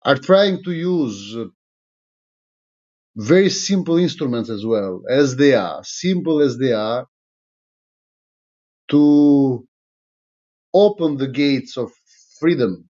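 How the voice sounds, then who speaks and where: A middle-aged man from Albania, a native Albanian speaker with an Albanian accent, addressing an online audience informally in a halting, deliberate way, repeating phrases for emphasis. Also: medium pitch, warm tone. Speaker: political movement leader. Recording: slightly dull, lo-fi sound.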